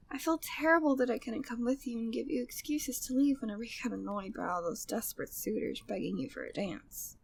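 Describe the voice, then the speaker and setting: A woman's voice: high-pitched, gravelly, a little mellow, exaggerated voice. A woman playing a flirty, devoted, gossipy maid at a manor in an ASMR audio drama, speaking affectionately and teasingly in formal period wording. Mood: concerned, guilty.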